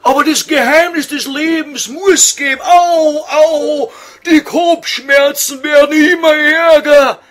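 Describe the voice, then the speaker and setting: A man's voice: very high-pitched, steady tone, slow, a bit bright, full character voice. A man voicing a grumpy, tormented old scholar, whining and exasperated, with a Viennese accent in a comic radio sketch.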